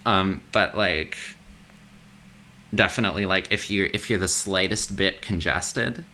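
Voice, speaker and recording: A man with a very low, gravelly voice that still carries a bright, cutting edge. He talks in a loose, chatty way and drops casual swears as a guest on a podcast. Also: a little hiss or hum. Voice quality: deep voice. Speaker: guest, extreme metal vocalist. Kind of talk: casual interview chat.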